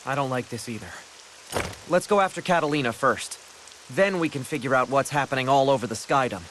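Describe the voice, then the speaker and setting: A man's voice: mid-range voice, brisk, noisy background, natural voice. A man playing an earnest, concerned young adventurer in an anime-style fantasy game. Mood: concerned, resolute. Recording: loud music behind the voice.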